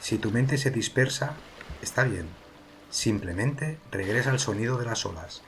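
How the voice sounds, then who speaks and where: A man narrating a guided meditation as its guide, speaking calmly and soothingly in slow phrases with pauses. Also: mid-range voice, slight background noise. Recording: ocean waves behind the voice. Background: sea waves, water ambience.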